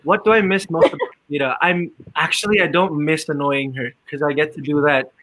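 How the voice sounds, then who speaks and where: A man's voice: on the high side, fairly even intonation, fairly quick, fairly bright tone, no echo, poor audio. A man in his twenties with a Filipino accent, a guest singer chatting easily on the radio and slipping between English and Tagalog. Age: 20s. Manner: easygoing, mixes English with Tagalog, many fillers. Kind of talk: casual radio interview.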